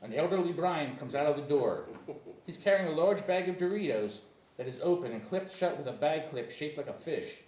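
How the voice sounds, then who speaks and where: A man's voice: fairly high pitch, expressive, fairly quick, a little mellow. A man reading screenplay stage directions aloud as narrator at a table reading, occasionally stumbling over words. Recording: echoey room, distant mic, faint noise.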